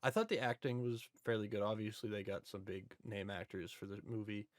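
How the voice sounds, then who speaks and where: A man's voice: fairly low pitch, a little fast, dry recording, clean studio sound. A man chatting casually and informally as a podcast co-host. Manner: informal, self-described history nerd. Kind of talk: casual chat about video game movies.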